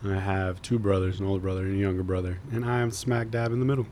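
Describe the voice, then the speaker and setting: A man's voice: sits low, level tone, fast, a little mellow, slight background noise. A young man from Florida, a podcast guest talking easily, with many uhs and restarts. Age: young adult. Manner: easygoing, hesitant, many uhs. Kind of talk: casual interview chat.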